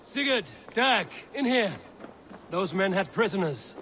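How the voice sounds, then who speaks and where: An actor playing a cool, watchful Viking raider in a video game drama, terse and dry. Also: male voice, sits high, animated, a little slow, pushed and bright, slight background noise, exaggerated voice. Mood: urgent. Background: music.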